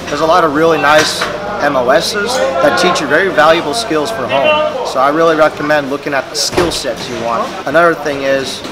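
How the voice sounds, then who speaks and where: Young male recruit, relaxed and earnest in an interview, recorded in a noisy barracks room with humming air conditioning. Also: fairly high pitch, moderate pace. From California, USA. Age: young adult. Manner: relaxed, earnest, with fillers and restarts. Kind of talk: casual interview answers. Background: air conditioning and room noise.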